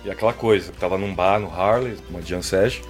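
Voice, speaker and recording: A man's voice: sits low, fairly quick, faint music behind. Rambling Brazilian man, casual interview chat. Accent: Brazilian Portuguese. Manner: rambling, full of filler tags. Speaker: guest musician.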